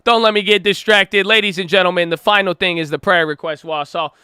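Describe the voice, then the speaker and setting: A man hosting a trading channel, talking in an excitable, slangy, casual way. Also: high voice, a little fast, clear, resonant tone, dry recording, clean studio sound. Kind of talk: casual hyped vlog talk.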